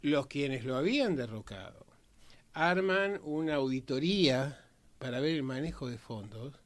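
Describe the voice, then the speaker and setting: An Argentine man giving conversational historical commentary as a panelist, often pausing mid-phrase. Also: mid-range voice, animated.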